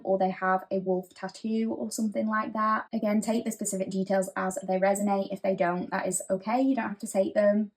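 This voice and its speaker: Woman giving a gentle tarot reading. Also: mid-range voice, rough recording. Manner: gentle, reassuring, conversational with frequent fillers. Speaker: tarot reader. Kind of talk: pick-a-card tarot reading.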